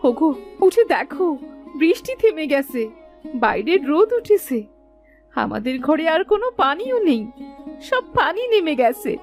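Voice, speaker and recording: A woman acts out an anxious, devout village wife from a cartoon tale. Her voice is very high and moves animatedly up and down as she pleads plaintively. Faint music plays behind her. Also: big pitch swings, unhurried, heavily acted. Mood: relieved. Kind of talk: Bengali cartoon story voice acting.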